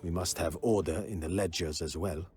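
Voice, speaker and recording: An actor playing a stern, proud army quartermaster in a fantasy video game, speaking formally and precisely in a husky voice. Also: male voice, sits low, fairly even intonation, moderate pace, darkish tone, a little hiss or hum, close to an everyday voice. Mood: stern, businesslike.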